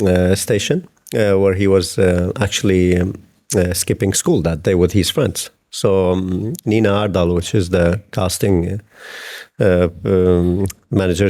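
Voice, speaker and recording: Low, dark-voiced hesitant man. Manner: hesitant, many fillers and restarts. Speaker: film director. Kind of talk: interview answers.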